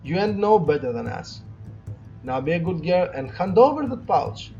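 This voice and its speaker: A man's voice: sits high, sing-song, normal speed, warm tone. A man voicing a bullying male street thug in a visual novel, pushy and taunting. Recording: muffled lo-fi audio with music.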